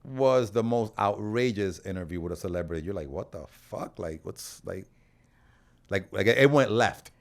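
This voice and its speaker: A man's voice: fairly low pitch, animated, normal speed, vocal fry. A man hosting a casual podcast interview, talking informally with slang and some swearing.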